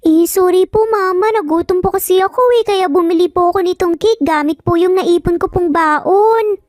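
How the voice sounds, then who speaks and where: A woman as a small cute boy, very high voice, courteous, childlike storybook character, dry sound. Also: moderate pace, darkish tone, no room sound. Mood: pleading. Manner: polite, apologetic, childlike. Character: cute, polite, cheerful little boy. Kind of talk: dramatized story narration.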